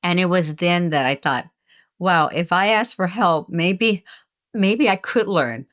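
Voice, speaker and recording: A woman's voice: lowish voice, takes it slowly, fairly bright tone. An older woman from the United States, a retired teacher, speaks earnestly and reflectively in an interview, often restarting her phrases. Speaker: guest, author and retired teacher. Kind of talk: candid interview conversation.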